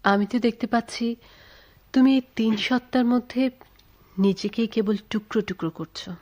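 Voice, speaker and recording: An actress playing a devoted, questioning lover in a radio drama, earnest and emotive with dramatic pauses. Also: female voice, a little high, fairly even intonation, a little mellow, highs cut off, natural voice. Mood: earnest, troubled. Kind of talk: Bengali radio drama acting.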